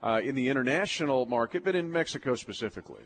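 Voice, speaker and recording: An American man works as a sports show host, talking live to his audience and guest. His voice sits at a medium pitch, with an emphatic, folksy announcer's delivery. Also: expressive, fast talker, a little hiss or hum.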